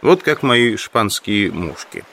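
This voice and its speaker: Obsessive bug collector in an audio play, lowish voice, brooding inner monologue, reflective. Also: male voice, very animated, slow pace, fairly bright tone, a little hiss or hum, exaggerated voice. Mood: brooding, philosophical. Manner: reflective, musing. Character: brooding, obsessive male insect collector lost in thought. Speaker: actor in an audio drama.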